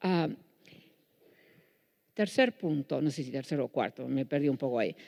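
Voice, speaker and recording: Mellow-voiced woman with Buenos Aires accent. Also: a bass voice, lively intonation, moderate pace, vocal fry. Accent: Buenos Aires Spanish. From Netherlands. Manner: self-correcting, with frequent restarts. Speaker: lecturer. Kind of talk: public lecture. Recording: reverberant hall.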